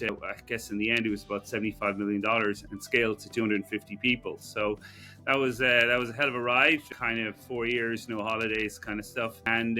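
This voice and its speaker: A middle-aged Irish man, a professor guest, telling his story in a relaxed, conversational way. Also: medium pitch. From Ireland. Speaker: guest, business school professor. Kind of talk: conversational interview.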